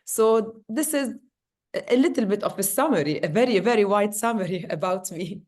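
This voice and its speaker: A woman talking as an interview guest. She tells her story in a lively, animated way, with many fillers and restarts along the way. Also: medium pitch, moderate pace. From Lebanon. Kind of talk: casual interview talk.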